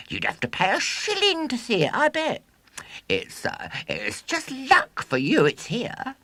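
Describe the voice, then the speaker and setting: A man's voice: very high-pitched, sharp tone, dry recording, pitched above the performer's own voice, full character voice. A man voicing a boastful, scornful small schoolboy in a children's storybook, bragging emphatically. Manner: exclamatory, bragging, emphatic delivery.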